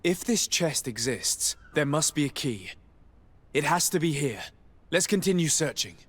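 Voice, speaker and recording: A man's voice: a little high, animated, a little slow, fairly bright tone, dry recording. An actor playing a composed young nobleman and diplomat in a video game, formal, self-assured and politely defiant. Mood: composed, purposeful.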